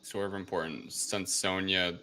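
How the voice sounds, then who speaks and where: A man's voice: sits low, flat delivery, measured pace, pushed and bright, slight background noise. A man co-hosting a podcast, adding informal side remarks in a casual film review chat. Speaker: podcast co-host.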